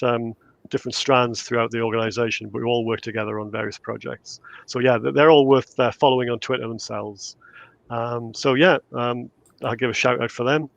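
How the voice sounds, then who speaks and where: A man in his fifties speaks with a Geordie accent and a gravelly edge to his voice. He talks haltingly, filling gaps with ums and restarts. The sound is close and dry. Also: medium pitch, fairly bright tone, dry recording. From England. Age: 50s. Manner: hesitant, many fillers and restarts. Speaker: guest researcher and author. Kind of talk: casual interview chat.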